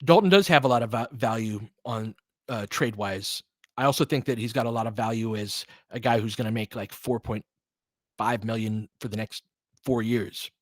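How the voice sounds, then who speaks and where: A man's voice: mid-range voice, normal speed, dry recording, studio quality. A man doing casual live basketball play-by-play and analysis, conversational and openly rooting for his team with exclamations on big plays.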